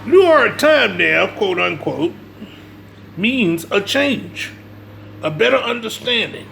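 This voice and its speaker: Gravelly man preaching in an emphatic, repetitive way. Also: sits high, big pitch swings, takes it slowly, pushed and bright, slight background noise. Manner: emphatic, repeats phrases, checks listener's understanding. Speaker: religious speaker reading from a book and commenting. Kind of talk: informal religious lecture mixing read-aloud passages and commentary.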